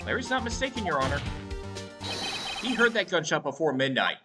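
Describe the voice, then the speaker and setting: A woman voicing an earnest young male defense lawyer in a video game, emphatic and argumentative, over loud game music. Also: low voice, big pitch swings, fairly quick, distant mic. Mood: assertive.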